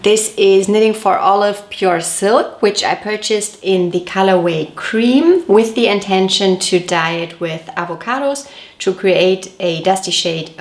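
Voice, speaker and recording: A woman hosting a knitting podcast, chatty and detailed, her voice a little off as she recovers from a cold. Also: on the low side. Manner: chatty, detailed, gently self-correcting. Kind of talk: casual show-and-tell podcast. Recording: echoey room.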